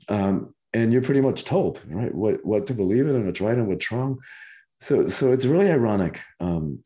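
A very deep, mellow-voiced man from Cuba speaks as a podcast guest. He is a spiritual teacher who talks earnestly and reflectively, often restarting his phrases as he thinks aloud. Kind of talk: reflective interview answers.

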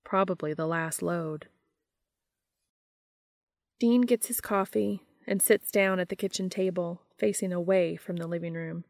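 A woman's voice: fairly low pitch, fairly even intonation, a little slow, gravelly, pauses often, darkish tone, no echo, clean studio sound. A woman hosting a podcast, reading a story aloud in scripted audiobook-style narration. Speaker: podcast host reading fan fiction aloud.

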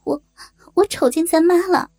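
A woman as a high-voiced, pleading young village wife. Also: fairly even intonation, moderate pace, darkish tone, faint music behind. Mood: nervous. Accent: Northeastern Chinese. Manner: hesitant, pleading. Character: frightened, pleading young rural wife, earthy and naive. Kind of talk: audio drama dialogue.

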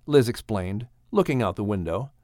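Lively male audiobook storyteller, close and dry. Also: on the low side, normal speed, no room sound. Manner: expressive, theatrical delivery of a children's adventure. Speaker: audiobook narrator. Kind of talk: dramatic scripted storytelling.

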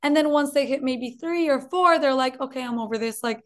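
High-voiced dietitian with slight Colombian accent. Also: female voice, brisk, a bit bright. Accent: slight Colombian Spanish. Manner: friendly, explanatory, repeats for emphasis. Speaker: dietitian guest. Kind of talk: explanatory interview talk.

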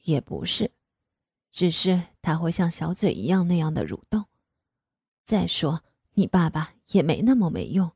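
Indulgent dad voice, low-ish and husky, kinda dark and mellow, a woman putting it on. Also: sing-song, unhurried, no echo, studio quality, exaggerated voice. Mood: coaxing. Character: middle-aged father, indulgent and coaxing.

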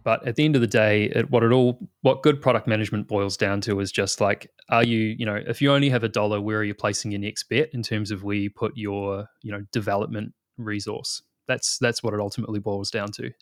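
A man in his thirties with a New Zealand accent talks as an interview guest in a loose, rambling way, with lots of fillers and restarts. His voice sits fairly low. The recording is dry and close, with no room sound. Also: fairly even intonation, brisk, few pauses, no echo. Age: 30s. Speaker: guest, product manager. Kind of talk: casual interview talk.

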